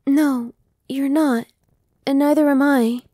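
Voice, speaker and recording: A woman playing a gentle, reassuring young vampire. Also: high voice, steady tone, dry recording, studio quality. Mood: soothing. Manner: soft, slow and reassuring, dropping to whispers. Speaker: voice actress. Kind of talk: ASMR roleplay.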